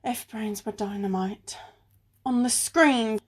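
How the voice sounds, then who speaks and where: A woman's voice: a little high, slow. Dry, sarcastic, sensible woman sleuth acted out. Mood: exasperated, sarcastic. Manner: dry, sarcastic, matter-of-fact. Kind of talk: amateur radio play acting.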